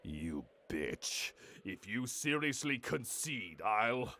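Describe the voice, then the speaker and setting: An actor playing a vain, menacing monster villain in a parody anime dub, with theatrical, sardonic, drawn-out phrasing. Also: male voice, lowish voice, sing-song, pushed and bright, heavily acted. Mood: indignant, menacing.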